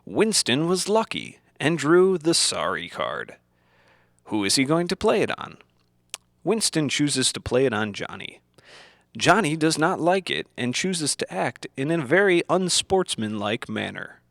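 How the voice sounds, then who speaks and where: A man reading scripted instructional narration in the manner of an old educational film, deliberate and didactic, in simple phrases. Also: a little high, very animated, gravelly, fairly bright tone, dry recording, studio quality.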